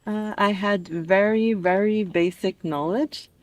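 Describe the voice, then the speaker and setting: 20s woman student being interviewed, chatty answers, normal pitch, dry sound. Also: slow pace, dry recording. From American who grew up in Dubai. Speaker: interview guest, master's student. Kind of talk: casual conversational interview answers.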